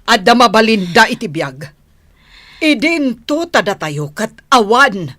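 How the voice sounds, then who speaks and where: A woman's voice: on the high side, sing-song, unhurried, sharp tone, dry recording, clean studio sound, exaggerated voice. An actress playing a worried, disapproving mother who scolds and frets over her daughter in a radio drama. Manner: fretful, scolding. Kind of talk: Philippine radio drama acting.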